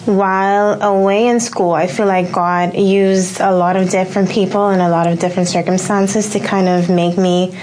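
A woman's voice: medium pitch, level tone, normal speed, hardly any pauses, darkish tone, a little hiss or hum, poor audio. A young woman from Curacao telling her testimony in a radio studio, hesitant with many ums. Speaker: guest sharing testimony. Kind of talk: personal testimony in interview.

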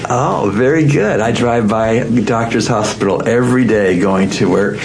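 A man hosting a radio program, interviewing a guest in the studio in a gentle, encouraging way. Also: on the low side, unhurried, gravelly, a little hiss or hum, poor audio. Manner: gentle, encouraging, affirming with mm-hmms.

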